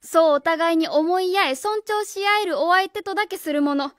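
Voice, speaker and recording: A woman's voice: extremely high pitch, moderate pace, fairly bright tone, no echo, clean studio sound. A woman playing an earnest, proud, idealistic schoolteacher in a radio drama, speaking formally and with indignation. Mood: indignant. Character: earnest, proud, idealistic female junior high school teacher. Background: music.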